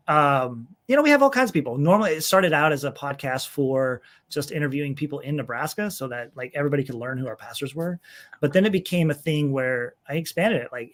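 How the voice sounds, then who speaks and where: A man talks casually as a guest on an interview show. His voice sits at an ordinary to slightly high pitch, and he rambles in an informal, self-deprecating way, explaining things in loose conversational runs. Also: fairly bright tone. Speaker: podcast guest, church executive minister and author.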